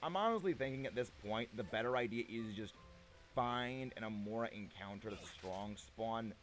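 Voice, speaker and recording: A young man chatting playfully with his stream viewers, a little gravelly and prone to repeating himself. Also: lowish voice, normal speed, fairly bright tone, soft background music. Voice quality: slightly gravelly. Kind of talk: casual live-stream chat with viewers.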